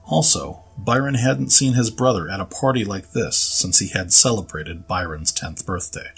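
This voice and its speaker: A man narrates a scripted audio drama story. His voice is on the low side, and soft music sits behind the narration. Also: lively intonation, moderate pace, vocal fry, husky.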